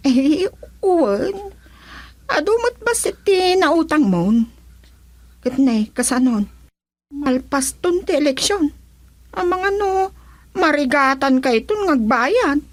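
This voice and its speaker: A woman's voice: high voice, expressive, slow pace, long pauses, no room sound. A woman with a Filipino accent acting as a gossipy village storekeeper in a comedy radio drama, complaining in everyday colloquial speech. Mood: worried.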